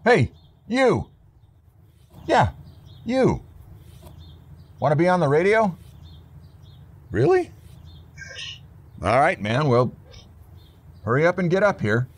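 A man's voice: on the high side, wildly swooping pitch, takes it slowly, long pauses, heavily acted. An actor playing a brash, sarcastic morning radio DJ with irreverent showman banter in an audio drama. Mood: excited. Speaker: radio DJ host.

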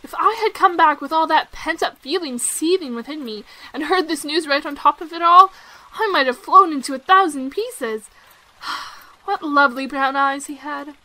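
A woman's voice: high-pitched, moderate pace, sharp tone, poor audio. A woman acting a candid, outspoken, headstrong young girl in a period romantic audio drama, with a theatrical, emphatic manner. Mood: excited, dramatic.

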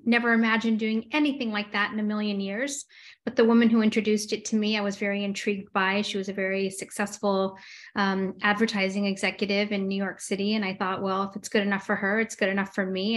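A woman's voice: medium pitch, a bit bright. Casting: woman, forties, from Los Angeles, talkative interview guest on a video call, easy laugh. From Los Angeles, USA. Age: 40s. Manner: chatty, frequent "um" fillers, laughs easily. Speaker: guest entrepreneur. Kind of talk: casual interview chat. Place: home room with window blinds.

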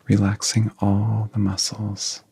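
A man speaking softly and soothingly in an ASMR guided relaxation, often dropping into a near-whisper, close to the microphone. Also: lowish voice, completely flat delivery, slow, gravelly, husky.

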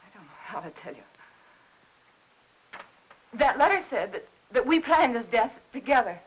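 An overwrought American housewife in a 1950s Hollywood melodrama. Her high voice is anxious and halting, that of someone fragile and full of guilt. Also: very animated, a little hiss or hum, rough recording. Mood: anguished.

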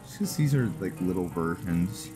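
A man's voice: deep voice, animated, normal speed. A man giving casual playthrough commentary on a roleplay game, thinking aloud with mild exclamations. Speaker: gaming host. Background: game music.

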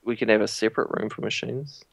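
A man's voice: fairly low pitch, fairly even intonation, fast talker, creaky, a bit bright, dry recording. A young man with a New Zealand accent joking around while playing a game with a friend. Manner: laid-back, joking. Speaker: gamer, co-host. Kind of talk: casual let's play chat.

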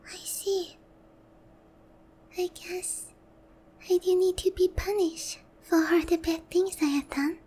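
A woman voicing a haughty but lonely, easily flustered female demon lord in roleplay ASMR, theatrical and often breathy. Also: very high voice, fairly even intonation, dark tone. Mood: dejected, lonely. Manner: slow, theatrical, teasing.

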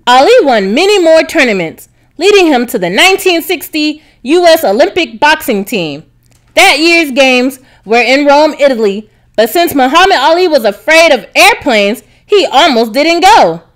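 High-pitched, lively woman reading a storybook. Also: normal speed. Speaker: narrator, read-aloud reader. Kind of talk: scripted children's storybook narration.

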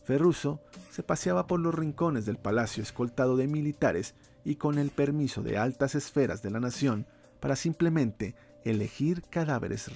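Gravelly man narrating horror tale. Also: medium pitch, darkish tone.

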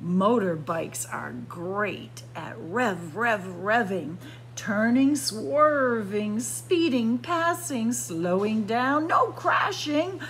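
A woman storyteller reading a picture book aloud to young children in an expressive sing-song way, drawing out and stressing words. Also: a little high, drawn-out words, slight background noise. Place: library room with bookshelves, indoors.

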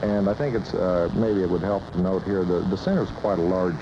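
An American man with a deep voice explains things as a radio guest, measured and matter-of-fact. The sound is a muffled, noisy old AM cassette. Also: fairly even intonation. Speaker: guest, air traffic controller. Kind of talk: explanatory interview talk. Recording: muffled 1980s AM radio cassette, loud noise.

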